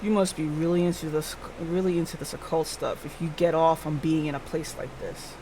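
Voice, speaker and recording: A woman voicing a tired, skeptical senior police boss in a visual novel, curt, gruff and grumbling. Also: low-pitched, fairly even intonation, fairly quick, background noise, pitched below the performer's own voice, lightly acted.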